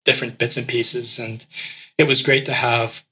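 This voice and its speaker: A man with a bright, forceful voice speaks as a podcast guest. He grew up in Ecuador and tells his story casually, stumbling into small repeats as he goes. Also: mid-range voice, level tone, normal speed, low-quality recording. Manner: frequent word repeats and restarts. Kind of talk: conversational interview storytelling.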